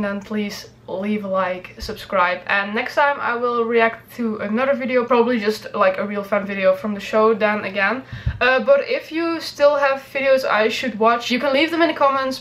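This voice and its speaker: Woman, online reaction host, casual chat, medium pitch, rambling, hedging, filler-heavy. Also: fairly bright tone. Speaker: YouTube reaction video host.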